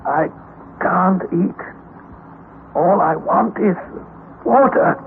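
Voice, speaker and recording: A man's voice: high voice, extremely slow, gravelly, heavily acted. A man playing an anxious young nephew who narrates a perilous expedition in an old radio drama, earnest and formal. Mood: parched, despairing. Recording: tinny, noisy old radio recording.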